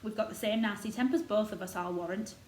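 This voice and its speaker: A woman's voice: mid-range voice, fast talker, a little mellow, close to an everyday voice. A woman voicing a blunt old male gardener with a Yorkshire accent and rustic dialect, for a storybook. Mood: blunt, surly. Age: older. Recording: roomy echo, noticeable background noise.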